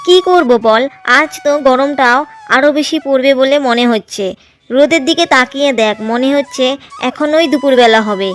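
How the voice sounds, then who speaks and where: A woman's voice: high voice, a little slow. Dipa, a woman voicing a devoted young village wife. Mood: tender. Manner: tender, patient and earnest. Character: caring young farmer's wife, warm and devoted.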